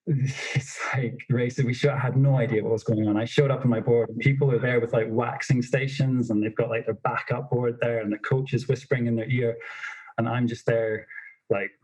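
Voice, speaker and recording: A young man telling stories as a podcast guest, in a self-described monotonous voice with lots of fillers. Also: medium pitch, moderate pace, slightly rough, low-quality recording. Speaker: snowboard instructor trainer, podcast guest. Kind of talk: casual interview storytelling.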